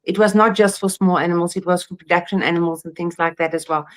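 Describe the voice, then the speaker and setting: A woman's voice: lowish voice, steady tone, quick pace. A woman, a podcast host and pet nutritionist, talking in an emphatic, earnest way. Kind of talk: casual podcast chat.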